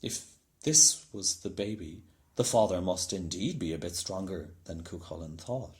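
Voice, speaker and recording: A man reading a children's storybook aloud in an expressive narrating style. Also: low-pitched, a little slow. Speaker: storybook reader.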